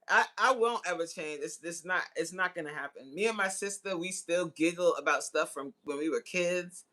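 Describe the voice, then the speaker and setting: A woman in her forties chatting casually with live viewers as host, slangy, teasing and giggly in manner. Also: lowish voice. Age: 40s. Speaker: livestream host. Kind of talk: casual chat with live viewers reading comments.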